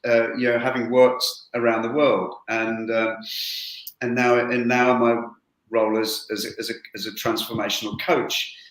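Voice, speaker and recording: A man's voice: medium pitch, flat delivery, a bit bright. Emphatic man coach, echoey room. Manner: emphatic, repeats for effect, fast bursts. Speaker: transformational coach. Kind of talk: webinar panel discussion.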